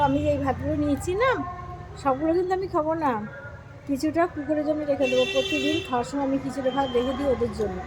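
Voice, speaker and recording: A middle-aged woman talks to her viewers in a friendly, easygoing way while she eats. Her voice sits high. Clear background noise runs under the home recording. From Bengal. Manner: chatty, addresses viewers as friends. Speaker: vlogger. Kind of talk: casual eating vlog chat.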